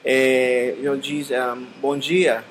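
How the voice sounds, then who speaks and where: A man's voice: medium pitch, flat delivery, extremely slow, very noisy. A man practicing a language as a student on a video call, hesitant and searching for words with many fillers. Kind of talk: halting practice conversation.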